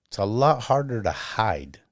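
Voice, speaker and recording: A man's voice: lowish voice, very animated, unhurried, no echo, clean studio sound. A man hosting a podcast, talking thoughtfully in casual conversation and often searching for words. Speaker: podcast host.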